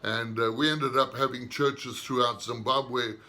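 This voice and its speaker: A man, a minister giving an unhurried personal testimony in short, reflective phrases. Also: medium pitch, steady tone, sharp tone. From southern Africa.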